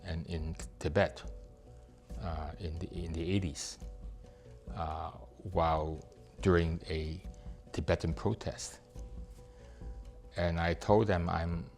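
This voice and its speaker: Very deep older Hong Kong man. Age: 70s. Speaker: activist interviewee. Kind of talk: reflective interview testimony.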